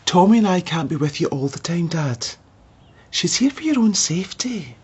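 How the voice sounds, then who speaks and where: A woman's voice: on the low side, sing-song, moderate pace, a little mellow, breathy edge, muffled recording, heavily acted. An actress playing a patient, weary grown daughter, reasoning with her father in a radio drama. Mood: firm, weary.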